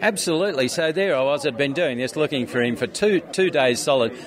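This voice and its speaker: A man's voice: fairly high pitch, a little fast. An Australian man chatting casually, telling anecdotes in a rambling, hesitant way. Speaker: interviewee, skeptics convention organiser. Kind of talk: casual chat. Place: busy convention venue. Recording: crowd chatter behind the voice. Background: crowd chatter.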